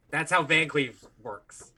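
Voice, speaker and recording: A man's voice: on the high side, bright, forceful tone. An American man co-hosting a podcast, chatting casually and telling stories in a blunt, crude, joking way. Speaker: podcast co-host. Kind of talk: casual chat, profane storytelling.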